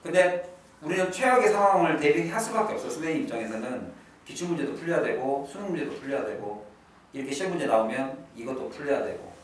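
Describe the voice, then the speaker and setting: Lively male teacher in an echoey room. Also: fast, slight background noise. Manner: lively, emphatic, drops to a low murmur for asides. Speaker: English teacher. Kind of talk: exam-prep lecture to high school students. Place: academy classroom. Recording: echoey classroom from a distance.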